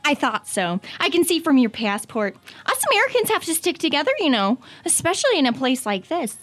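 A woman's voice: sits high, sing-song, brisk, fairly bright tone. A young woman playing a nosy, chatty female American tourist who gushes and rambles. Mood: nosy, gushing.

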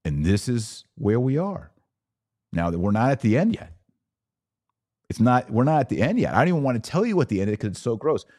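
A man's voice: medium pitch, big pitch swings, a little fast, darkish tone, no echo, clean studio sound. A man hosting a podcast of religious commentary, speaking in an emphatic, preachy manner with rhetorical questions. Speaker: podcast host. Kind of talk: live religious commentary rant, reading audience comments.

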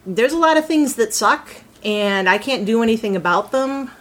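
A woman's voice: on the high side, expressive, normal speed, a bit bright. A woman voice actor in a casual round-table chat, animatedly acting out her character's thoughts as she talks.

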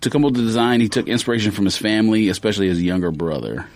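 A man's voice: fairly low pitch, racing, darkish tone, no echo. A man co-hosting a sneaker podcast, talking casually and informally as he explains his opinions. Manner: informal, slangy.

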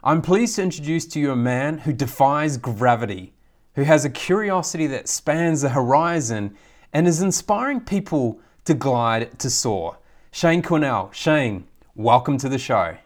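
A man's voice: medium pitch, expressive, unhurried. Chatty male interviewer. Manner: friendly and conversational, long wandering questions. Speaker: podcast host. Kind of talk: interviewing a guest.

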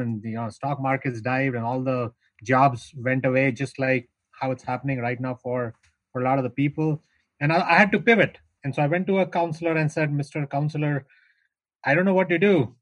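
A man's voice: mid-range voice, dry recording, poor audio. Talkative Indian-accented man, middle-aged. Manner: talkative, storytelling, frequent restarts. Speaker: guest, founder of an insurance tech business. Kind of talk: podcast interview.

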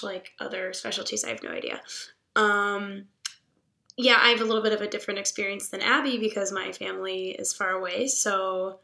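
Raspy-voiced young woman, chatty. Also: medium pitch. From Pittsburgh. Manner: chatty, animated, laughs often. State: hoarse from a cold. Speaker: veterinary student guest. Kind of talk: casual podcast chat.

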